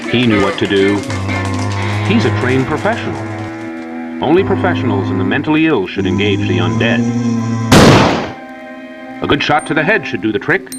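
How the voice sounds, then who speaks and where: A man's voice: medium pitch, expressive, normal speed, pauses often. A man delivering scripted narration like an old children's safety film, in a theatrical, mock-earnest storyteller manner, over prominent music.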